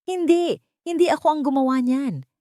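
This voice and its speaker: A cornered male villain in an audiobook drama, acted by a woman narrator. He is defiant and furious, throwing out denials in a raised pitch with a dry, close sound. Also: moderate pace, dark tone, no room sound, close to an everyday voice. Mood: desperate denial.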